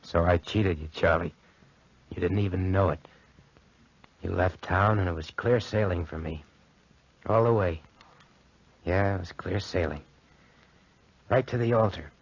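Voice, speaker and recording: Smug, rough-voiced young card cheat. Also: male voice, low voice, unhurried, pauses often, heavily acted. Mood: gloating. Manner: taunting, slangy, cocky. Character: smug, crude young male card cheat, mocking and callous. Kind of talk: 1940s radio horror acting. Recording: muffled 1940s radio broadcast recording.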